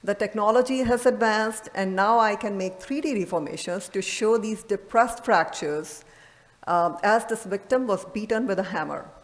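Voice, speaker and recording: A woman's voice: medium pitch, normal speed. Woman lecturing in a reverberant hall. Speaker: radiologist presenting at a medical conference. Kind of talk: conference lecture.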